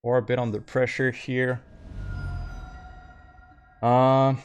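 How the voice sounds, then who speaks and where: A man's voice: mid-range voice, flat delivery, a little hiss or hum. Québécois-accented man thinking aloud. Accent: Québécois French. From Quebec, Canada. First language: French. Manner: thinking aloud, halting with many fillers; apologetic, easygoing asides to chat. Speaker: streamer. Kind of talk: live gameplay commentary.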